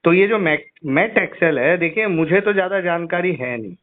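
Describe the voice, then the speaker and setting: Middle-aged male customer, slightly high voice, challenging and insistent, recorded over a narrowband phone line. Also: lively intonation, a little slow. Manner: insistent, challenging, repeats questions. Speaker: caller, insurance customer and patient. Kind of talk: argumentative phone complaint. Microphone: phone call.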